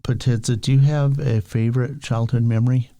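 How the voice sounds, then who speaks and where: Man, podcast co-host, low gravelly voice, dark tone, easygoing and rambling. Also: steady tone, fairly quick, dry recording, studio quality. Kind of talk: podcast interview.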